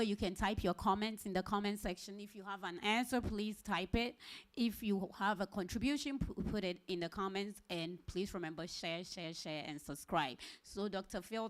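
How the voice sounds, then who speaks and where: Woman hosting a live interview show. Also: mid-range voice. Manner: friendly, repeats calls to share and subscribe. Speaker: host.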